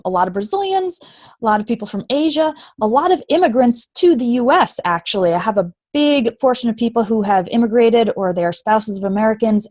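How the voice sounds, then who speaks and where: Teacher-turned-founder guest, woman, crisp fluent delivery, slightly high, dead-dry mic. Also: a little mellow, no room sound. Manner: clear, fluent storytelling. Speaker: online English teacher, guest. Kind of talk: interview answers.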